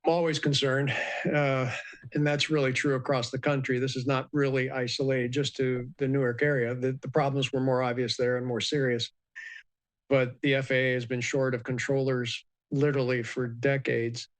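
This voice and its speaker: Man speaking in a flat, measured way. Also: medium pitch. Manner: measured, explanatory, frequent ums and uhs. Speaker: aviation expert guest. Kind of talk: live news interview.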